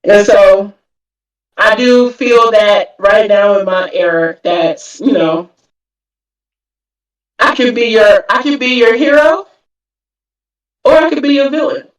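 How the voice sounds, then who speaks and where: A woman's voice: on the high side, slow, pauses often. A woman, an indie author, talking casually and confidently on a voice call. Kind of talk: casual talk, closing remarks. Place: home, on a voice chat. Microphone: computer mic on a voice call. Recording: very echoey, distant voice-chat audio.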